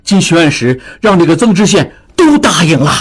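A man's voice: fairly high pitch, big pitch swings, a little fast, slightly rough. A man voicing an anxious, agitated younger brother in a dramatized audiobook, exclaiming in exasperation. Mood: agitated, exasperated. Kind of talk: dramatized audiobook character acting.

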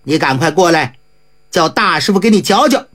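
A woman's voice: on the low side, expressive, normal speed, voice pushed down. A woman playing a humble old squire in his fifties. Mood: fatherly. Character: polite, anxious wealthy old man in his fifties. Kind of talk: traditional storytelling.

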